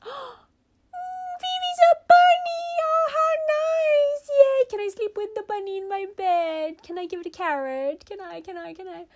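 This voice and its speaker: A woman voicing an excitable little girl, the big sister, eager and pleading as she talks. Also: very high voice, a little slow, dry recording, pitched above the performer's own voice. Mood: delighted.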